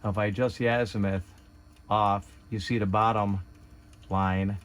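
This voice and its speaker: A man's voice: on the low side, little pitch movement, normal speed, fairly bright tone. A man giving a casual hands-on tutorial, thinking aloud in an unpolished, hesitant way. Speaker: hobbyist demonstrator.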